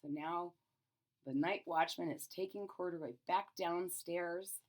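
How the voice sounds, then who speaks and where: A woman storyteller doing an expressive children's read-aloud, explaining things simply as she goes. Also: lowish voice, a little slow.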